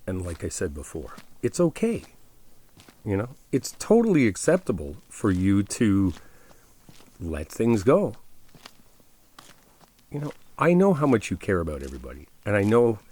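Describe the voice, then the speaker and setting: A man's voice: deep voice, warm tone, breathy edge, heavily acted. A man playing a caring, insistent best friend in an ASMR roleplay, talking straight to the listener in a casual, coaxing, reassuring way. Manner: conversational, coaxing, addressing the listener directly. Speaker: ASMR roleplay audio performer.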